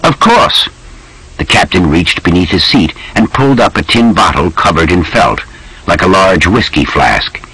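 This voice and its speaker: A man narrating a historical novel audiobook in an expressive, animated storytelling manner. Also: sits low, normal speed, husky, low-quality recording.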